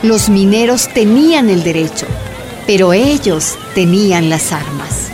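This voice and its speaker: A woman's voice: medium pitch, expressive, unhurried, music in the background, crowd noise, natural voice. A woman narrating a scripted radio drama in a grave, dramatic storytelling manner.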